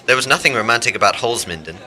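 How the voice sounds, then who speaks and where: A man's voice: medium pitch, expressive, racing, pushed and bright, poor audio. An actor playing a dry, well-spoken, questioning English theatre man and former prisoner of war in a 1920s radio play. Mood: wry.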